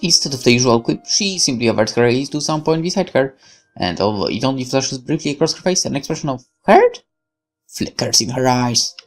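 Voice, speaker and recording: A let's player with a creaky voice, reading narration aloud expressively and dropping in casual asides. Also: mid-range voice, animated, a little fast, faint music behind.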